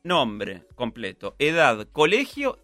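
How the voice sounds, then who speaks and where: Bright, playful Rioplatense radio host. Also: male voice, medium pitch, huge pitch swings, slow pace, no echo, faint music behind. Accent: Rioplatense Spanish. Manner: playful, teasing, reads listener messages. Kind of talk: casual radio chat.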